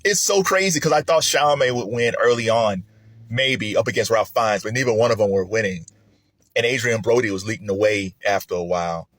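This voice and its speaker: A man casually sharing his film awards predictions, with an animated manner, laughing often and swinging from excited highs to low mutters. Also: brisk, no room sound. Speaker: film commentator. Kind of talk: casual spoken commentary.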